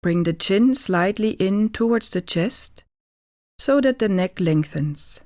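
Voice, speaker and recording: Female yoga nidra guide, medium pitch, very slow calm delivery with gentle pauses, close dry recording. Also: dry recording. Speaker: yoga nidra meditation teacher. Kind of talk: guided relaxation meditation instruction.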